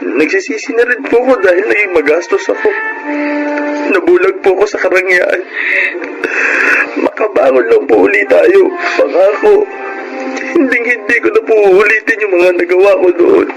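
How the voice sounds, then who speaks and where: A respectful, higher-voiced young Filipino son. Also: male voice, moderate pace, over music. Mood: remorseful. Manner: deferential, pleading. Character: remorseful, respectful grown son of a broke family. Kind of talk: radio drama acting. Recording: noisy radio drama recording.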